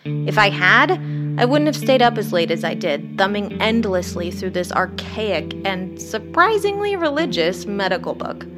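A woman's voice: medium pitch, big pitch swings, normal speed, over music, natural voice. A woman playing a wry, haunted, sleepless ER doctor who narrates a horror audio drama in the first person. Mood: brooding, uneasy. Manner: wry, brooding, literary. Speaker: narrator and lead character.

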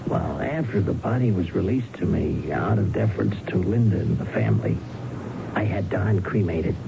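Evasive, gravelly American rancher. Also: male voice, low-pitched, music in the background. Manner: halting, evasive, explanatory. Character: evasive bereaved brother living on a ranch. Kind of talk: radio drama acting. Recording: dull, noisy 1970s radio broadcast recording.

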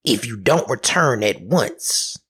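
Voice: mid-range voice, animated, takes it slowly, a bit bright, breathy edge, heavily acted. A storyteller voicing hungry, menacing witches with rhythmic chanting, over music. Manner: rhythmic chanting while chopping. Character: three menacing, hungry, long-toothed witches. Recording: music behind the voice.

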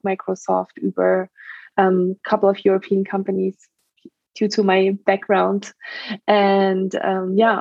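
A woman's voice: mid-range voice, gravelly, a little mellow, no room sound, dull audio. A woman in her thirties from Germany with a German accent, a stress management trainer talking earnestly as a podcast guest, with many fillers. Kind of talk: podcast interview.

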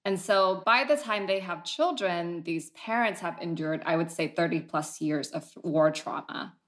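A therapist who was born in Vietnam, calmly explaining history and family trauma as an interview guest. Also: male voice, high-pitched, measured pace. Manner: calm, explanatory.

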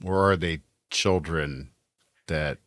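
A man's voice: rumbling low, slow, fairly bright tone, no room sound. A man co-hosting a podcast in casual chat, dropping wry quips. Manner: wry, quipping. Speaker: podcast co-host.

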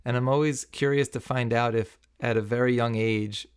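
A man's voice: medium pitch, steady tone, moderate pace, clean tone. A man hosting an interview, asking curious questions with long setups. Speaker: interview host. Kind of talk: conversational interview.

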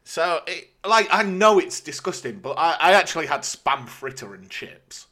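A man's voice: on the high side, animated, moderate pace, bright, forceful tone. A man with a British accent, talking casually as a podcast co-host. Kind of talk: casual chat.

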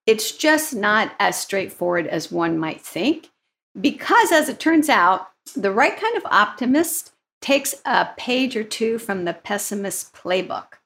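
An upbeat woman co-hosting a podcast. She talks in a relaxed, conversational way, mid-pitched, and often tags her thoughts with a quick "right?". Warm in tone, she sounds like she is chatting with a colleague. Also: creaky. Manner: upbeat, asks questions, frequent "right?" tags. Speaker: podcast co-host.

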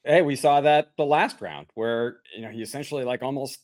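A man's voice: medium pitch, a little fast. A man co-hosting a conversational sports discussion and setting up questions for analysis. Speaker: podcast co-host.

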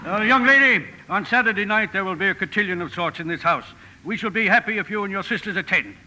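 A sharp, bright and high-pitched male voice plays an imposing old gentleman. He is gruff, formal and wry, with a kindly core. It comes from a vintage radio drama with faint noise. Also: fast talker. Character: gruff, intimidating but kindly old wealthy grandfather. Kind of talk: 1950 radio drama acting. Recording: noisy vintage 1950 radio broadcast.

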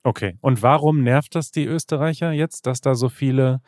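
A man's voice: mid-range voice, moderate pace, a little mellow, no room sound, studio quality. A man from Germany with a standard German accent, hosting a podcast in a casual, conversational interview style. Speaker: podcast host.

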